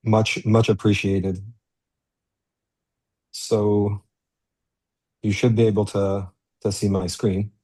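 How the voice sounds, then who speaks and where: A man with an American accent talks quickly and plainly, as if presenting over a video call. His voice sits low and sounds warm and mellow, direct and chatty rather than formal. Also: level tone, husky. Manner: fast, straightforward, chatty delivery. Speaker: guest speaker. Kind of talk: online business presentation.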